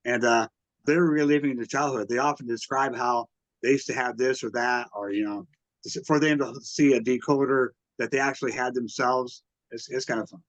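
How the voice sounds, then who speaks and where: A man's voice: mid-range voice, fairly even intonation, moderate pace, no echo, muffled recording. A man talking casually as an interview guest, explaining things in a rambling, conversational way. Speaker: museum founder and curator, interview guest.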